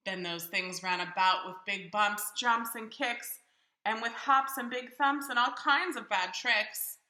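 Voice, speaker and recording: A woman reads a picture book to young children with theatrical expression. Her voice is high, very bright and animated, and the room sounds echoey. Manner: theatrical, pauses to question young listeners. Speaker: children's storybook reader.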